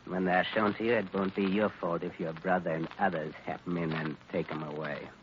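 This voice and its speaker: An actor playing a cold crooked lawyer and crime boss, smooth-talking and threatening, in 1940s radio style. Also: male voice, deep voice, fairly even intonation, brisk, lightly acted. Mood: calm threat. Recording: muffled, hissy old radio recording.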